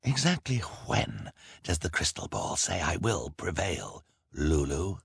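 A man's voice: low-pitched, big pitch swings, unhurried, gravelly, slightly rough, no room sound, clean studio sound, voice pushed up, heavily acted. A man voicing a greedy, scheming villain who speaks in a smug, cold way.

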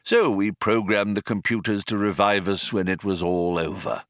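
A man voicing a kindly, absent-minded old man in an audiobook, speaking in a solemn, polite, unhurried and slightly wistful manner. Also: on the low side, steady tone, no echo, studio quality, close to an everyday voice. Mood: vague, mild. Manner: vague, unhurried, wistful, gently formal. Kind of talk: audiobook character voice.

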